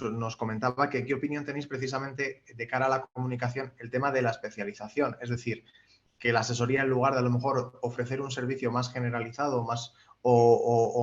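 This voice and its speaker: A man moderating a webinar, relaying audience questions in a halting way with many restarts over a video call. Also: medium pitch, highs cut off.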